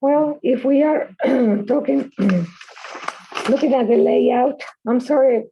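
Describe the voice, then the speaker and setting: A woman on the review board with a hoarse voice, speaking slowly and hesitantly. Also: high-pitched, fairly even intonation, lo-fi sound, a little hiss or hum. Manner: slow, hesitant, clearing her throat. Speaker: board member.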